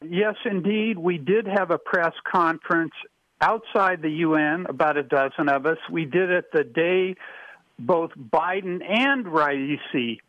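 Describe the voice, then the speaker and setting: A high-voiced male activist answers in firm, declarative phrases, like he is reading out a campaign slogan. His voice comes through slightly dull and muffled, dry and close. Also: a little slow, no room sound. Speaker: guest, activist. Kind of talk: interview answer.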